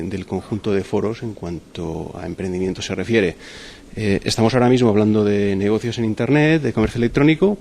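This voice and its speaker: A man with a slightly low voice, recorded with faint ambient noise behind him. He explains a forum programme step by step, often doubling back on words. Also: darkish tone. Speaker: university event presenter. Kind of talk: spoken presentation of a forum. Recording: clear background ambient noise.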